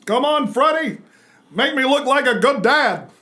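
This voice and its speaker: A man acts out an exasperated suburban villain dad in a comic reading. His voice sits high and swings widely, with a whiny, awkward protest to it. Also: expressive, a little slow, bright, forceful tone, full character voice. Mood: eager, awkward. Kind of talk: amateur comic dramatic reading.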